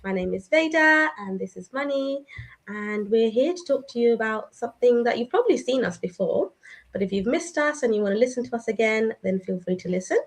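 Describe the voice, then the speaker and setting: A woman in her thirties presents a live online session as its host. Her voice sits a little high as she addresses viewers and hands over to guests. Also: animated, a little fast.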